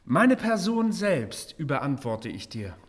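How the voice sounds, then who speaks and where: A man's voice: a little high, very animated, a little slow. An actor playing a grandly generous king in a fantasy radio drama, with a stately, declamatory manner. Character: grandly generous male king welcoming a hero.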